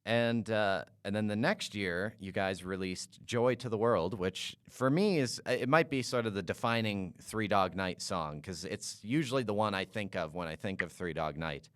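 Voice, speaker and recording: Male interviewer, casual and hesitant; clear, resonant tone, close and dry sound. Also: fairly low pitch, moderate pace, hardly any pauses, a bit bright, dry recording. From Calgary, Canada. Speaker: interviewer, podcast host.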